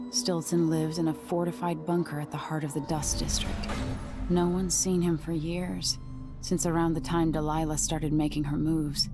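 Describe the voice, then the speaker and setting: An actress playing a young exiled empress in a video game, speaking in a measured, introspective, serious way. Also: female voice, sits low, close to an everyday voice. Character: brooding young exiled empress, determined and self-questioning. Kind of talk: video game cutscene monologue and dialogue.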